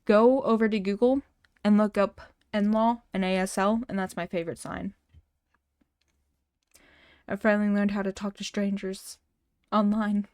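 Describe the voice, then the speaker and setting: A woman's voice: medium pitch, fairly even intonation, normal speed, long pauses, a little mellow, clear, resonant tone, dry recording, studio quality. A woman streamer in casual chat with her viewers, stuttering often and restarting words, laughing now and then. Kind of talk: casual livestream chat, reading chat messages and a story aloud.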